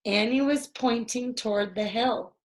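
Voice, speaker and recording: A woman's voice: on the high side, a little slow, low-quality recording. A woman reading a children's storybook aloud expressively for young listeners. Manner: addresses young listeners, acts out dialogue. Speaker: story reader.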